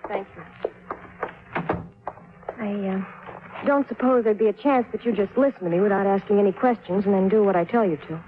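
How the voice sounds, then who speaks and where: A woman's voice: mid-range voice, fairly quick, pauses often, close to an everyday voice. Actress as guarded woman in tinny radio drama. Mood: guarded, coaxing. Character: composed, mysterious woman visitor in a detective story. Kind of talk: 1950s radio drama acting. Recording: tinny 1950s radio recording.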